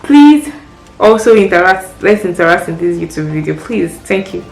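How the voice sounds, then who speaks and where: A woman's voice: lowish voice, big pitch swings, moderate pace, vocal fry. Chatty woman, echoey room. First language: Yoruba. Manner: chatty, friendly, practical tips. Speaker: parent vlogger. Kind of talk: casual advice vlog. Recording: echoey room with faint music.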